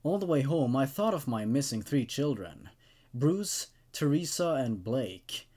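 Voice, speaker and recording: A man's voice: medium pitch, sing-song, a little slow, warm tone. A man hosting horror story readings, moving between narration and informal, casual commentary. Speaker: host narrating horror stories.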